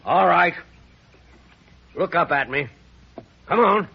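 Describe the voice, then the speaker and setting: An actor playing a gruff, bad-tempered older rancher father with a gravelly, rural American voice, barking orders in 1950s radio western style. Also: sits high, animated, slow, exaggerated voice. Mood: gruff, softening. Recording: muffled old radio recording.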